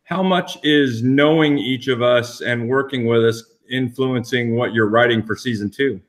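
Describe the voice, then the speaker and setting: A man's voice: medium pitch, unhurried. Male cast member, middle-range voice, informal panel chat.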